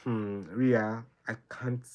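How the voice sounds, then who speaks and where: Earnest low-voiced Nigerian man. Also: fairly even intonation, very slow. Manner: earnest, rambling, keeps adding "right?" and repeating himself. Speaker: livestream host answering viewer questions. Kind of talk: casual live Q&A advice.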